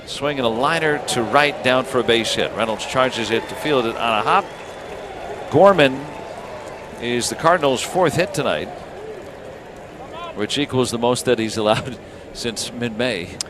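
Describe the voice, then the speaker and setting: An American man doing live radio play-by-play of a baseball game in short, measured phrases with asides between pitches. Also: background noise. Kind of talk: live sports commentary with ad-libbed asides.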